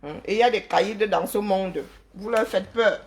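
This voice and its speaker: A woman speaks straight to her live-stream viewers in a firm, lecturing monologue. Her pitch sits in the middle range, and she drives each point home with rhetorical questions and emphatic asides. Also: moderate pace, a little hiss or hum. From Cameroon.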